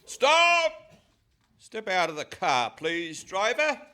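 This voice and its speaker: A man's voice: sits high, wildly swooping pitch, sharp tone, exaggerated voice. A man playing an officious police officer barking emphatic orders in a radio comedy. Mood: commanding. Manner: commanding, slow, emphatic orders. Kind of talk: Goon Show style radio comedy acting. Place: live performance on stage in a hall.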